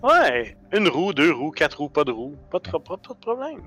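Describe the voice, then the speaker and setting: A man's voice: on the high side, very animated, normal speed, fairly bright tone. A man playing his character in a tabletop game, boastful and playful, often stammering and restarting. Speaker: player.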